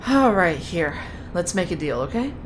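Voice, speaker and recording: Woman as a low-voiced, blunt female soldier. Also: big pitch swings, moderate pace, a little hiss or hum. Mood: frank, caring. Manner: blunt, self-assured. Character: headstrong, blunt young female sniper trooper. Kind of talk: sci-fi military audio drama.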